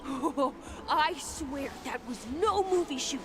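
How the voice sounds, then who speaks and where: A woman voicing an excitable, starstruck, chatty townsperson in a video game. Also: sits high, slow pace, over music, heavily acted. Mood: excited. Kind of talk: animated video game voice acting.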